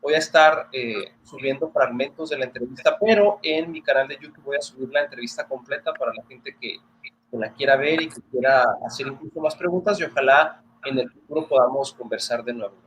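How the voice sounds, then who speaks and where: A man's voice: medium pitch, fairly quick, fairly bright tone, lo-fi sound, a little hiss or hum. A man with a northern Mexican border accent interviewing a doctor politely and formally over a video call. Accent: northern Mexican border Spanish. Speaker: interviewer and news presenter.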